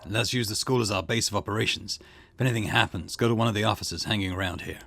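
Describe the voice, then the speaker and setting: A gruff middle-aged police detective, speaking low and steady, as a man voices him. His voice is gravelly with a rough, creaky edge. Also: fast.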